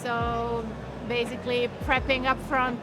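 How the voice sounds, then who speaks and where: A woman with a Croatian accent speaks slowly and thoughtfully in a high, light voice. Clear music plays behind her in the convention hall. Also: completely flat delivery. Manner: measured, thoughtful, with pauses. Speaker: tattoo and piercing studio owner. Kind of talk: interview at a convention.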